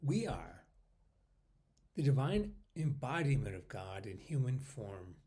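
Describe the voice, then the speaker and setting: Slow-paced man guiding a meditation. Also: mid-range voice, sing-song. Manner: unhurried, pausing between short phrases, often trailing off softly. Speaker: meditation guide.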